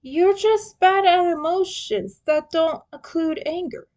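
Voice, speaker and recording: A woman voicing a wounded young male turtle in a story, teasing and sentimental, with an emotional, halting delivery. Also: very high-pitched, a little mellow, pitched above the performer's own voice, exaggerated voice. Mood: amused.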